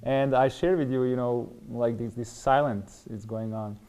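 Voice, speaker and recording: Male interview guest, middle-aged, Serbian accent, dark relaxed mid-range voice, hesitant storytelling. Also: mid-range voice, unhurried. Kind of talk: personal testimony in interview.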